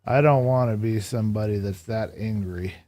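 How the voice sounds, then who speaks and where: A hoarse man chatting casually with viewers on a live stream while playing a game, answering chat aloud in a relaxed, reflective way. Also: on the low side, moderate pace, slight background noise.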